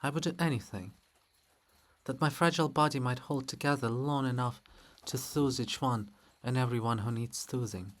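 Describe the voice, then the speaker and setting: A man voicing a stern, grieving princess monument in a solemn, measured, formal stage reading. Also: mid-range voice, pitched below the performer's own voice, lightly acted. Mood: grieving, tender. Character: Princess Olha, stern, grieving, proud monument of a female ruler.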